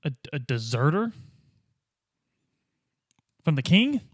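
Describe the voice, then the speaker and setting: A man's voice: fairly high pitch, big pitch swings, takes it slowly, studio quality, heavily acted. A man playing a casual, probing investigator in improvised roleplay, informally pressing with questions and echoing answers back. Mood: startled, curious.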